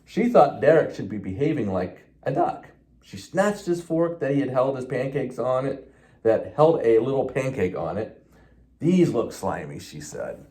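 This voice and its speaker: A man reads a children's storybook aloud in a mellow, soft-edged voice. His intonation swings widely and expressively through the tale. He occasionally stumbles over a word and carries on. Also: medium pitch, big pitch swings, normal speed. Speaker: police officer reading a children's book.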